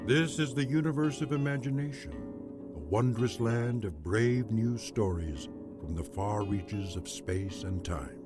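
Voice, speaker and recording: Brief: male announcer, grand intro read over a loud music bed. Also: lowish voice, measured pace. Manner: grand, portentous. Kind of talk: dramatic series intro.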